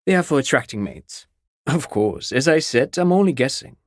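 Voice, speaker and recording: A man's voice: mid-range voice, very animated, moderate pace, dry recording, clean studio sound, natural voice. An actor playing an eager, erudite academic consultant in a game, articulate and long-winded, musing and politely hedging as he speaks. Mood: fascinated, musing.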